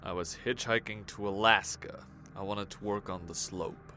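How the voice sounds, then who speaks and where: An actor playing a resentful, defiant murder suspect who answers tersely in an audio drama. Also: male voice, lowish voice, steady tone, normal speed, bright, forceful tone, slight background noise. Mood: bitter, defensive. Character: tense, resentful young male murder suspect.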